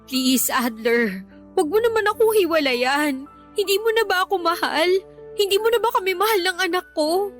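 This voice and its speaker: A clingy Filipina girlfriend in a radio romance drama. Her high voice is defensive and argumentative, given to pleading, with faint music underneath. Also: expressive, moderate pace, a bit bright. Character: defensive, clingy young mother and live-in girlfriend.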